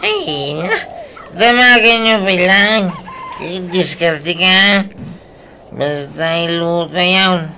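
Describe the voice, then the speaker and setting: A brusque, irritable villager in a comedic radio drama with an Ilocano Filipino accent. The voice is piercingly sharp and very animated, with unhurried pacing. There is noise behind it. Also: female voice, on the low side, full character voice.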